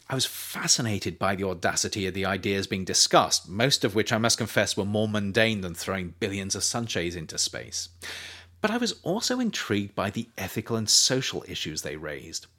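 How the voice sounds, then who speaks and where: Man, low voice, lively rise and fall, author reading his own nonfiction audiobook, measured delivery. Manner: measured, animated intonation. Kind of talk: audiobook narration.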